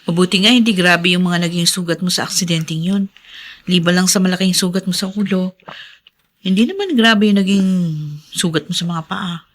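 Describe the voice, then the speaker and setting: A woman's voice: lowish voice, fairly quick, lightly acted. An actress playing a caring, playfully scolding young woman with a Filipino accent in a radio drama. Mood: teasing, caring.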